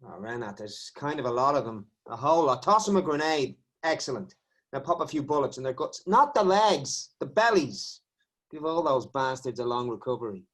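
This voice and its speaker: A man's voice: mid-range voice, animated, normal speed, a bit bright. An actor playing a brutal, foul-mouthed riot policeman who sneers and barks orders. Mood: callous. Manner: crude, sneering, barking orders. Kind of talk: satirical audio drama.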